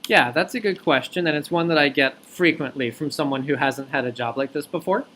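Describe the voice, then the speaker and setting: A man working as a recruiter on a phone interview, explaining things clearly point by point in an articulate way. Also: on the high side, a little fast, sharp tone, slight background noise. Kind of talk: explanatory job interview talk.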